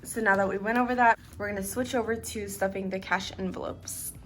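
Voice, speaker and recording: Young woman in her twenties, casual vlogger, slightly raspy and tired, relaxed chatty delivery. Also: medium pitch, fairly quick, soft background music. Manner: relaxed, chatty, uses "like" and "literally" often. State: slightly raspy from lack of sleep. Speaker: budgeting vlogger. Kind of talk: casual chat to camera.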